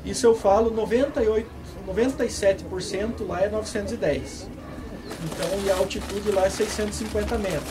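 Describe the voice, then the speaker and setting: A man talking casually at an outdoor fair, reeling off numbers quickly. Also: sits high, background noise. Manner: quick talk full of numbers. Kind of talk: casual talk at a farm fair. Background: crowd chatter.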